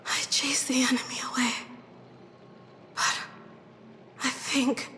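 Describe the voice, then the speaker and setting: An actress playing a gentle, devoted young female warrior in a video game, weak and breathless as she dies. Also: high voice, level tone, slow, whispered, long reverb tail, heavily acted. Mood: fading tenderness. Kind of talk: dramatic game voice acting.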